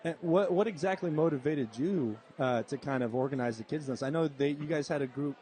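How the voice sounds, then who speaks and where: A man's voice: fairly high pitch, brisk, warm tone. A man hosting a casual podcast interview at a live event hall, upbeat and laughing often. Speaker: podcast host.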